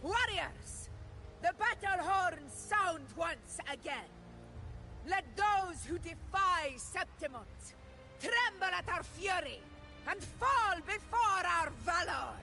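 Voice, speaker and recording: A proud, resolute war leader from a video game, with a strikingly high female voice. She talks in grand battlefield oratory, with music clearly behind her. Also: lively intonation, slow, sharp tone, exaggerated voice. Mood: rousing, fierce. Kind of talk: dramatic video game voice acting.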